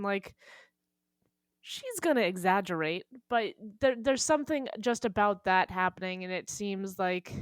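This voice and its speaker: A woman's voice: mid-range voice, dry recording, clean studio sound. A woman on a podcast panel chatting casually about books, hesitant and informal as she thinks aloud. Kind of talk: casual chat, book discussion.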